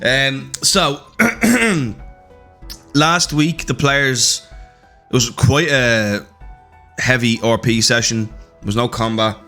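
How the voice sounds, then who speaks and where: A hoarse man, the game master, recapping a tabletop campaign in a casual, joking, swearing way. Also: mid-range voice, slow, bright, forceful tone, soft background music. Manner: joking, swearing, laughing often. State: hoarse, blew his voice.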